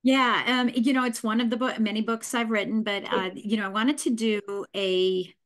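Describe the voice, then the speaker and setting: Animated woman telling her life story. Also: on the high side, normal speed. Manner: animated, folksy, mimics voices in her stories. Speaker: guest. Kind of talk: candid storytelling interview.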